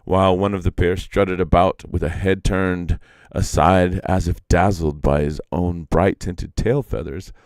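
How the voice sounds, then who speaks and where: Deep, gravelly theatrical male storyteller. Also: unhurried, no room sound. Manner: theatrical, expressive. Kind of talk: dramatic live reading of folk legends.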